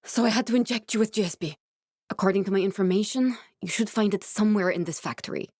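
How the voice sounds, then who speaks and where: An actress playing a guarded, driven scientist in a video game, speaking in a measured, clinical way. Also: female voice, mid-range voice, a little mellow, no echo, studio quality, lightly acted. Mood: focused, serious. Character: guarded, driven woman scientist guiding a survivor, dry and earnest. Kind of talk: video game character voice acting.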